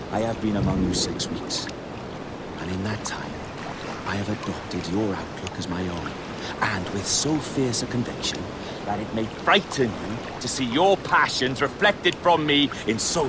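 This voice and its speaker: An actor playing a proud pirate captain in a video game, speaking in florid, theatrical oratory. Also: male voice, on the low side, a little slow, heavy background noise, close to an everyday voice. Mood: solemn, fervent. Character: grandiloquent, proud pirate captain addressing his crew. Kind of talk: dramatic video game acting.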